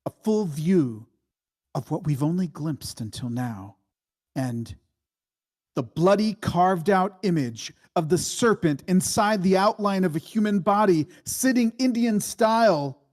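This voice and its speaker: Slow, measured man narrating a script. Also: high-pitched, a little mellow. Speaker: stage directions reader.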